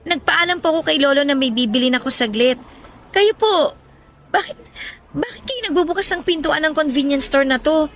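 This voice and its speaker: A woman's voice: high-pitched, lively intonation, a little fast, fairly bright tone. A woman playing a worried, polite young schoolgirl who keeps questioning her father in a radio drama. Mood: worried, curious. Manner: respectful, insistent questioning. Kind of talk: radio drama acting in Tagalog.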